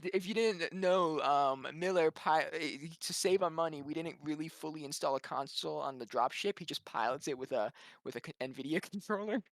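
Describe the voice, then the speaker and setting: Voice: medium pitch, a little fast, few pauses, no echo. A tabletop game player with a voice of unclear sex, chatting casually in a light, joking manner.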